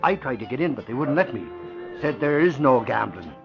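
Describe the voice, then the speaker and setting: Blustering male sleuth, excitable, swooping pitch, muffled vintage radio drama over music. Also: brisk, creaky. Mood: agitated, indignant. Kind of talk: old-time radio crime drama acting. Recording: muffled old radio recording, music behind.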